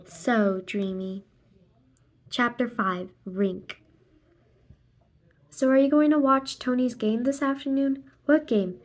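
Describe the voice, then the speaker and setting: Lady doing a kids book read aloud, kinda high voice, slow, acts out the lines and sound effects. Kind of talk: expressive read-aloud of a children's graphic novel, voicing dialogue and sound effects.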